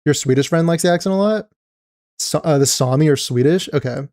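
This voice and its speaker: A man streaming a live reaction to a game trailer, excited and chatty with many restarts. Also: fairly high pitch, brisk, no room sound, clean studio sound.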